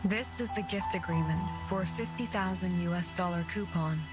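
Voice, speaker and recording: An actress playing a serene, aloof arcanist of the woods in a video game, speaking in a measured, formal and courteous way. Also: female voice, on the low side, close to an everyday voice. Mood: calm, detached. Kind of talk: scripted game voice acting. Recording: loud music behind the voice. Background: loud background music.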